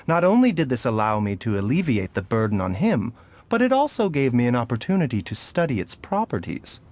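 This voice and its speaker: An actor voicing a calm, scholarly young male doctor in a video game, polite, composed and deliberate. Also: medium pitch, natural voice. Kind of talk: dramatic video game voice acting. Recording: background music under the voice.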